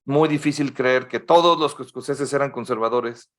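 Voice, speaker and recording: A man's voice: medium pitch, moderate pace. A Mexican man on a casual panel podcast breaks in with jokes and careful corrections. He hedges his points with fillers as he pushes back. His tone stays conversational. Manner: jokey interjections, cautious corrections. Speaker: podcast co-host.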